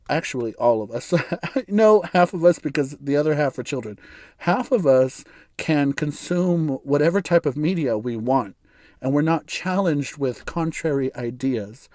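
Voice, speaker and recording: Raspy-voiced man rambling through opinions. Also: a little high, darkish tone, no echo, studio quality. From United States. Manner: rambling and opinionated, with frequent self-restarts and chuckles. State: hoarse from bronchitis. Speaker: podcast host. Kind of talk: casual solo podcast monologue.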